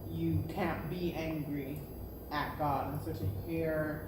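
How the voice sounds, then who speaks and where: A woman sharing personal reflections in a discussion, pausing between phrases as she thinks. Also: sits low, flat delivery. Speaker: participant in a post-reading discussion. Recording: very echoey room, distant, noisy.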